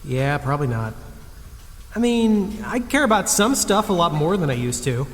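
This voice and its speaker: A man's voice: fairly high pitch, big pitch swings, normal speed. A man playing an insecure young slacker soldier in an audio drama, wry and hesitant, sometimes tripping over his words. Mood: sheepish. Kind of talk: audio drama character acting. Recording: long reverb, faint background noise.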